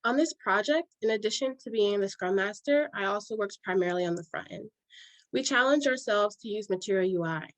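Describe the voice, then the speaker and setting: A young woman from New York reads her part of a team software demo in a steady, measured way. Her voice sits at a middle pitch and is recorded dry and close. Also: no echo, poor audio. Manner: steady, reads from a prepared script. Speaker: student presenter, scrum master. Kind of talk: scripted project presentation.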